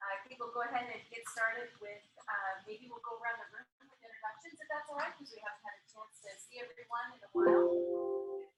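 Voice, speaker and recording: Brief: woman meeting chair, higher voice, cheerful, picked up from afar in a reverberant room. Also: over music. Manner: friendly, upbeat, quick affirmations. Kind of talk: running a hybrid board meeting. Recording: echoey room, far from mic.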